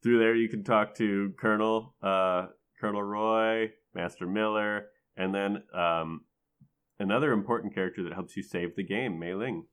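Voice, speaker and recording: A man's voice: on the low side, fairly even intonation, moderate pace, a bit bright, smooth. A man co-hosting a casual gaming podcast, talking in a joking, mock-serious way. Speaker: podcast co-host. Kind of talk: casual chat about a video game.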